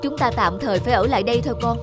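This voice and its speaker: A woman voicing a patient cartoon father who gently explains and teaches things to his young son. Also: on the high side, racing, over music, close to an everyday voice. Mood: flustered. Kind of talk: cartoon voice acting.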